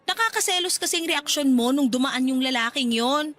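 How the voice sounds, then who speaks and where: A woman in a radio-style drama playing a jealous, suspicious young wife with a sharp, accusing manner. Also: high voice, moderate pace.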